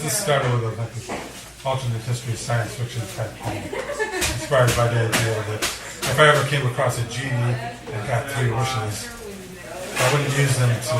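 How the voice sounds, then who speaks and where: A man reads his poems live at a medium pitch, with measured phrasing and deliberate pauses. He is heard from a distance in an echoey room, with an audience around him. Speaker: poet reading at a poetry event. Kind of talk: live poetry reading with casual introductions. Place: indoor cafe venue before a live audience. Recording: echoey room recorded from afar, audience murmur.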